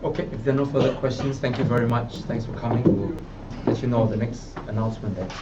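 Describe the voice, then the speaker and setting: A man's voice: mid-range voice, level tone, quick pace. A man chairing a press conference, pointed and challenging toward reporters. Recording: far mic, dull, loud background noise.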